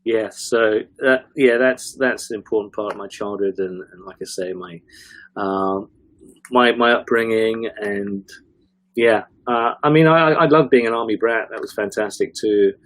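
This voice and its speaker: A man's voice: on the low side, normal speed, fairly bright tone, dry recording, poor audio. A man with an English accent with some northern vowels, chatting casually as a guest and wandering through nostalgic stories with many restarts. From grew up in a British Forces family in Germany. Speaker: podcast guest. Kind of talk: casual interview chat.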